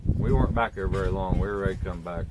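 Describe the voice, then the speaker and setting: A man's voice: low voice, fairly even intonation, quick pace, very noisy. A man in his thirties chatting casually in a laid-back, rambling way, sitting outdoors on a sunny porch and filmed by a camera a few feet away. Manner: laid-back, rambling, plain country talk. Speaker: homesteading vlogger. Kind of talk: casual chat. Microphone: camera on a tripod a few feet away.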